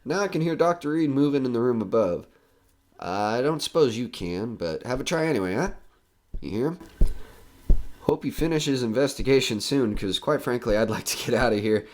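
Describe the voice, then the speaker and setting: Male actor as nervous skeptical broadcaster, audio horror drama, lively swooping intonation, medium pitch, rambling, anxious joking. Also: normal speed, natural voice. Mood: jumpy, confiding. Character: jittery skeptical male radio broadcaster alone in haunted house.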